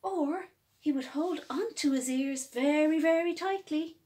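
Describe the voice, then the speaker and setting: A woman reading a picture book aloud as a storyteller, with expressive, emphatic phrasing for young children. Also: high voice, a little slow.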